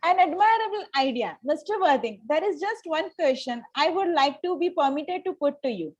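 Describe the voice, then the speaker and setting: Woman playing a high-voiced haughty young Victorian lady. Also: lively intonation, moderate pace, dull audio. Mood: formal, wounded. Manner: formal, imperious, precise. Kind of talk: amateur table read of a stage comedy.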